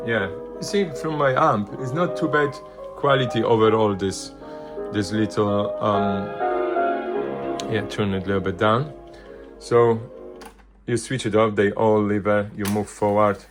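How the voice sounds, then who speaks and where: A man presenting a casual hands-on product demonstration, thinking aloud in loose, halting phrases. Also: fairly low pitch, steady tone, loud music behind the voice. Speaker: vintage hi-fi video presenter.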